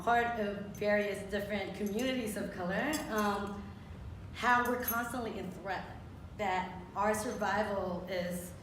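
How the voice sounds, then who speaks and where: A woman's voice: medium pitch, a little hiss or hum. Earnest woman echoing in a theater. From Philippines. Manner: earnest, reflective, with many fillers. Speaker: panelist, muralist. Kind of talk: panel discussion. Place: theater with audience. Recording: echoey theater from far off.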